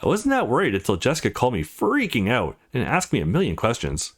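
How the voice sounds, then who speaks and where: A man's voice: mid-range voice, wildly swooping pitch, fast. A man voicing a brash, foul-mouthed, teasing young woman who is a loyal friend in a visual novel game. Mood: exasperated.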